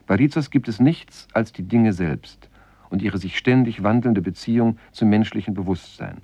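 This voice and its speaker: A man with a low voice narrates a scripted essay on literature. His delivery is measured and formal, like a radio presenter introducing a play. The sound is very dry and a little muffled. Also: a little mellow, no echo. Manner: measured, formal, reading quotations.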